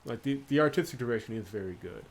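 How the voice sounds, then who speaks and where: A man's voice: medium pitch, lively intonation, fast talker. A man giving casual commentary as he plays through a game as host. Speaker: gaming video host. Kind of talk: casual game commentary and read-aloud of visual novel dialogue.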